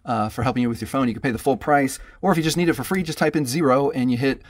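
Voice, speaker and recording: A man hosting a phone tutorial, calmly explaining step by step in a friendly, patient way. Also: mid-range voice, rapid-fire, no room sound. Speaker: tech tutorial host.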